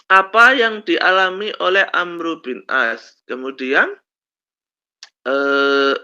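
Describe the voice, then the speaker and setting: A male lecturer with a slight cold, telling stories slowly with many fillers in a lesson on Islamic law. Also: fairly high pitch, pushed and bright, clear, resonant tone. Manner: slow storytelling with many fillers and "kan begitu ya". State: has a cold, coughs. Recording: dull lo-fi recording.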